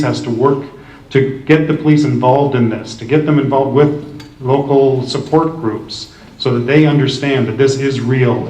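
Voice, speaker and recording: A man's voice: mid-range voice, a little mellow. A man answers as a candidate at a public forum, earnest and firm in what he says. His voice is picked up from a distance and rings in the echoey hall. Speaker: political candidate. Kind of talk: debate answer.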